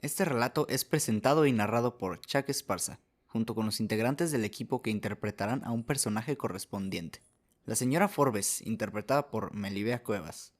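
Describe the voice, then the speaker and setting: A man's voice: on the low side, normal speed. A man narrating a short story as a scripted radio drama, reading as the narrator.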